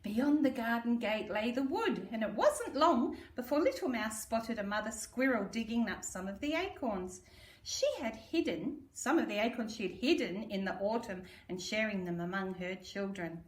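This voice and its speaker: A woman's voice: fairly high pitch, expressive, moderate pace. An Australian woman reads a children's storybook aloud in a sing-song, affectionate, theatrical way, as if to small children. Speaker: primary school story reader. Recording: roomy echo, distant microphone.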